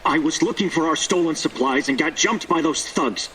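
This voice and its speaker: A man's voice: a little high, moderate pace, bright, forceful tone, far from the mic, a little hiss or hum, low-quality recording. An actor playing a shifty, pleading male survivor in a video game, hesitant and evasive. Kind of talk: video game character acting.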